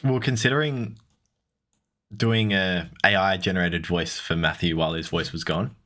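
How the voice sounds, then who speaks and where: A man's voice: deep voice, fairly even intonation, moderate pace, fairly bright tone. A young man with an Australian accent co-hosting a podcast, teasing his friend and laughing easily. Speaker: podcast co-host. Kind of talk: casual podcast chat.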